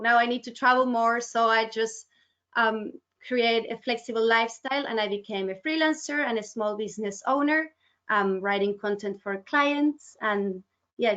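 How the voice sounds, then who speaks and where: A woman's voice: a little high, fairly bright tone. A woman from Argentina, a writer guest, chatting in a webinar interview with plenty of "like" and "um". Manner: chatty, uses "like" and "um" often. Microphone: video call. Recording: dull lo-fi video call audio.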